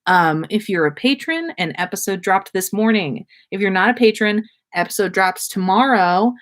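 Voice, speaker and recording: A woman's voice: medium pitch, expressive, normal speed. A woman hosting a livestream in casual chat, rambling and effusive, with slangy talk and free swearing. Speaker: podcast host.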